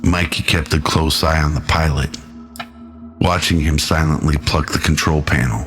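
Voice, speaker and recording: A man narrating a scripted radio drama in a measured, dramatic storytelling manner. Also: a bass voice, fairly even intonation, a bit bright. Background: music.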